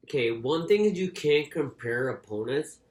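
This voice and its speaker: A man's voice: fairly high pitch, lively intonation, a little mellow. Man talking boxing in an echoey room. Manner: halting, repeats words and phrases. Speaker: boxing podcast co-host. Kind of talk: casual sports discussion. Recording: echoey, roomy sound.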